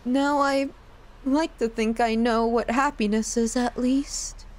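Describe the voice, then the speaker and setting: A woman playing an obsessive, self-loathing young yandere in an intimate ASMR roleplay, with an emotional, halting, pleading delivery. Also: fairly high pitch, fairly even intonation. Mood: tender, melancholy.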